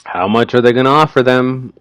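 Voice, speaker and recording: A man's voice: medium pitch, brisk, a bit bright. A man giving casual spoken commentary on a story reading.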